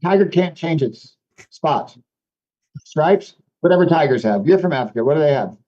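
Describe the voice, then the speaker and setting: A man's voice: a little high, lively intonation, normal speed. Thoughtful man, native French speaker. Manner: thoughtful, explanatory, with restarts. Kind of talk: reflective conversation. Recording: dull lo-fi sound.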